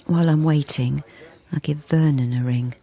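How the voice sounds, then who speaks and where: Hesitant, husky middle-aged wife. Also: female voice, sits low, steady tone, mellow. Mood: guarded, apologetic. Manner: hesitant, halting, confiding. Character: timid, wistful middle-aged wife breaking free of marriage. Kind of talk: radio drama acting with first-person narration.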